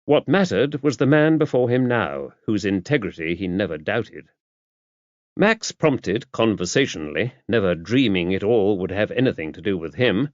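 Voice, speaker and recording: A man's voice: fairly low pitch, expressive, normal speed, dry recording. A man narrating a mystery novel as scripted audiobook reading. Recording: dull, muffled lo-fi audio.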